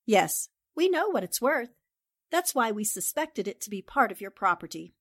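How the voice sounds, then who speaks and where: A woman's voice: mid-range voice, big pitch swings, moderate pace, dry recording, studio quality. A woman playing a confident, determined newspaper sleuth in 1940s radio drama style, assertive and politely pointed. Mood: brisk, businesslike.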